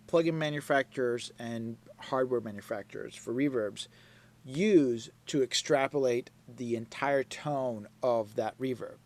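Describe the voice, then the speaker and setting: A man teaching a live mixing class, explaining slowly and checking in with his students as he goes. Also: medium pitch. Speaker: mixing instructor. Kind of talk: live online class lecture.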